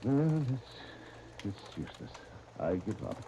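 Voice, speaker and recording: Exasperated father, dark low voice. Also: huge pitch swings, slow, gravelly, a little hiss or hum, exaggerated voice. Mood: stern, weary. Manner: earnest, exasperated. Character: exasperated, stern middle-aged father. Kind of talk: 1930s radio serial acting.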